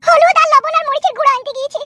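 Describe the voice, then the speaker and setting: A performer voicing a chatty young village woman in a comedy cartoon, with a rural West Bengal accent and a pitched-up voice. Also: steady tone, racing, pushed and bright, no echo. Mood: friendly, inquisitive. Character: chatty, teasing young village cousin, female.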